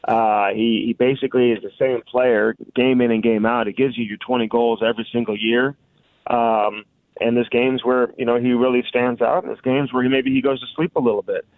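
A man talks through his sports analysis in a conversational, unscripted way, as a guest reporter would. The sound is dry and somewhat dull, like a lo-fi radio call. His pitch sits in the middle for a man. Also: little pitch movement, fairly quick, dry recording. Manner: conversational, frequent "you know" and "listen".

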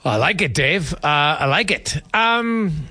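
A man's voice: a little high, very animated, slow, sharp tone, no room sound. A man hosting a sports radio show, talking hockey in a casual, conversational way. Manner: conversational, informal.

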